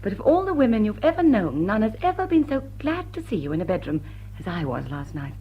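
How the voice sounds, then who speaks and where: Witty lady crook in love, upper-class English accent, soft husky tone, muffled vintage radio drama, arch and teasing. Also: mid-range voice, sing-song, a little fast. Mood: poised, candid. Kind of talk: British radio play acting. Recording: dull old radio broadcast recording.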